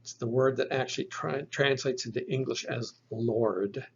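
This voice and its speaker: A man's voice: medium pitch, moderate pace, vocal fry, breathy edge, lo-fi sound. A man giving a recorded lecture on Greek pronunciation, methodically repeating each sound for the listener. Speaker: Greek language teacher.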